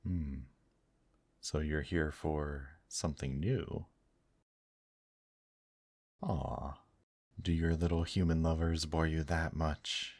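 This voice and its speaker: An extremely deep-voiced demon host, acted by a man, speaks slowly and intimately, as in an ASMR roleplay. He is suave and courtly, gently teasing the listener with old-fashioned pet names. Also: fairly even intonation, exaggerated voice. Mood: courteous, gently teasing.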